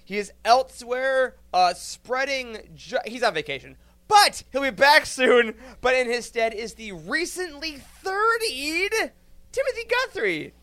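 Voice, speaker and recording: A man's voice: very high voice, expressive, unhurried, sharp tone. A man hosting a podcast in a casual, chatty style with a jokey, effusive manner. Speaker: podcast host. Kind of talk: casual upbeat chat.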